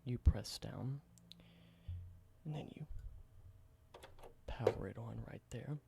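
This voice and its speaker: Male ASMR creator with a gravelly voice and a mid-range pitch who whispers slowly through a roleplay. The recording has audible hiss. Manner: soft whisper slipping into low spoken voice, unhurried. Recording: noticeable background hiss.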